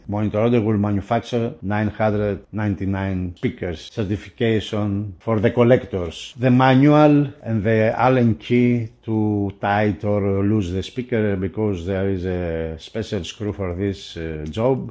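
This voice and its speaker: A male reviewer talks through an unboxing in a lowish, mellow voice. His delivery is very slow, with halting, broken phrasing as he describes what he handles. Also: muffled recording. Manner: halting, broken phrasing in non-native English. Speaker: product reviewer for a magazine. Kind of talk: unboxing commentary.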